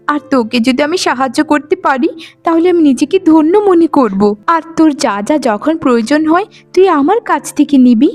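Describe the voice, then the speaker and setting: Casting: good-hearted twin sister, high voice, earnest cartoon delivery, music behind. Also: female voice, a little mellow. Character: kind, trusting young wife, devoted twin sister. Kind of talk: melodramatic cartoon voice acting. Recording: music bed under the voice.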